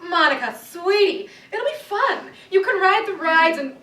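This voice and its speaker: A woman's voice: extremely high pitch, animated, measured pace, pushed and bright, exaggerated voice. A woman playing a breezy, distracted, self-absorbed mother in a staged cartoon reading. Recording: distant mic, echoey room, faint noise.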